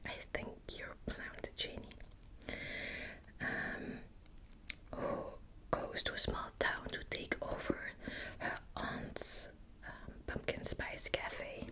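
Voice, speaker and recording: Whispering Belgian Dutch woman, slow ASMR. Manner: soft unvoiced whisper, hesitant with many fillers. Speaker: ASMR channel host. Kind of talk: whispered ASMR book talk.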